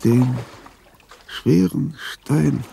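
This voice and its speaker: Gravelly, halting old dying man. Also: mid-range voice, mellow, a little hiss or hum, full character voice. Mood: solemn, murmuring. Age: older. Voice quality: gravelly, husky, breathy. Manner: halting, swinging between whispers and cries. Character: lonely dying old composer, tender, confused, rambling. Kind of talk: German radio drama acting.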